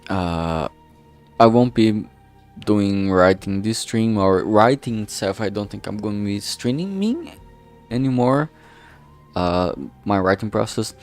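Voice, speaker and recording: A man chatting casually on a livestream in a rambling, halting way, swearing now and then, speaking into a filtered streaming microphone. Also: on the low side, darkish tone. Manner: rambling, halting, often losing his thread. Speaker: streamer and amateur game writer. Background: background music.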